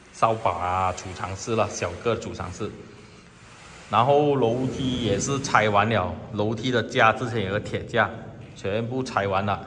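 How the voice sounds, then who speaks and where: A man's voice: fairly low pitch, a bit bright, long reverb tail, slight background noise. A man from Malaysia walking through his house under renovation, chatting casually as he points things out on a handheld camera. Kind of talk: casual walkthrough narration. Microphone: handheld action camera.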